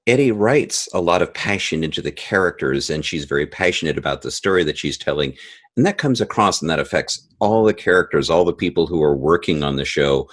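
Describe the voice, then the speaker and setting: A middle-aged or older man chatting earnestly and enthusiastically as an interview guest. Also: rumbling low. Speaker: interview guest, audio drama composer. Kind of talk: casual interview chat.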